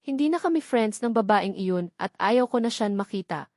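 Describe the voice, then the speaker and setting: A woman's voice: medium pitch, expressive, moderate pace, a little mellow, no room sound, studio quality, lightly acted. A woman voicing a pouting, headstrong young woman in love, in a romance audiobook. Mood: pouty, affectionate.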